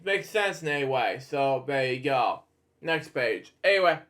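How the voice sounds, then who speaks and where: A man hosting a read-aloud of children's stories in a casual, halting, rambling way with off-the-cuff asides. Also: mid-range voice, animated, a bit bright. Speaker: host reading bedtime stories.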